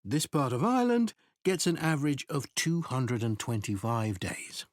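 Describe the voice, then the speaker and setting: A man giving a scripted academic presentation in a measured, explanatory way. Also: medium pitch, very animated, no room sound, studio quality. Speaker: presenter in a recorded exam listening test.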